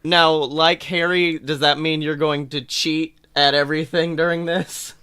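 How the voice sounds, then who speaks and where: Exasperated higher-pitched man ranting casually. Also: unhurried, clean tone, dry recording. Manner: animated, exasperated, sweary complaining. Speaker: podcast host. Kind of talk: casual chat, comic film rant.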